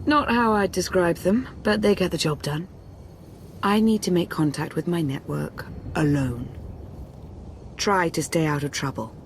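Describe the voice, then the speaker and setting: Game cutscene casting: cool, curt female operative, low register, engine rumble behind. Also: a little slow. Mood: guarded, brisk. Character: guarded, terse female operative, cool and authoritative. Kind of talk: video game cutscene acting.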